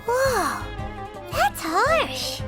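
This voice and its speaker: A woman voicing a tiny, childlike floating fairy companion, chirpy, curious and blunt, with a squeaky voice in a game cutscene. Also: huge pitch swings, very slow, heavily acted. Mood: surprised, puzzled. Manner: refers to self in third person, exclaiming. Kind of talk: scripted game cutscene dialogue. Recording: loud background music under dialogue.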